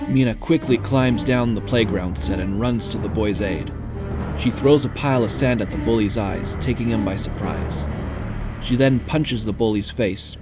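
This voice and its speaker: Gravelly male storyteller. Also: lowish voice. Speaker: narrator. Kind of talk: scripted audio drama narration.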